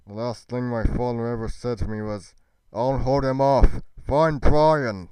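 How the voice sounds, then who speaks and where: A man playing an earnest young fantasy adventurer in an amateur roleplay, with a solemn, deliberate delivery. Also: mid-range voice, fairly even intonation, darkish tone, clear, resonant tone, dry recording, close to an everyday voice, low-quality recording. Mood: anguished.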